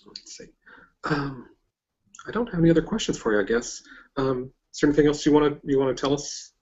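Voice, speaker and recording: A man's voice: fairly high pitch, steady tone, a little fast, a little mellow, dull audio. A man hosting a casual interview, speaking in an informal, agreeable and somewhat hesitant way. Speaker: podcast interviewer.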